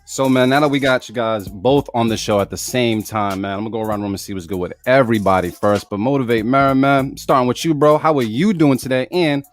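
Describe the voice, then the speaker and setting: A man's voice: mid-range voice, fast, hardly any pauses. A man hosting a podcast, chatting casually and calling his guests "man" and "bro". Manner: laid-back, peppers talk with "man" and "bro". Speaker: podcast host. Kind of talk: casual interview chat.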